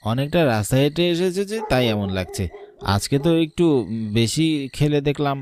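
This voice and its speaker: A man's voice: medium pitch, lively intonation, moderate pace, darkish tone, slight background noise, lightly acted. A man playing an anxious, chatty village husband with a fussy, questioning manner in a horror cartoon. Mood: concerned.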